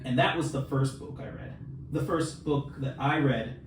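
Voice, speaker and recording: A man's voice: medium pitch, moderate pace. A man co-hosting a podcast, telling casual stories about books and acting out his own reactions. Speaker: podcast co-host. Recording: very echoey, noisy room, distant mic.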